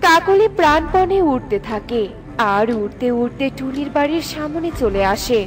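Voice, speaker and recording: A woman's voice: high voice, lively intonation, normal speed. A woman narrator reading scripted children's storytelling narration.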